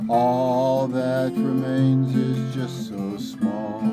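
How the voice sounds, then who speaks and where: A woman singing a slow ballad. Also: level tone, over loud music. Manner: slow, low sung melody.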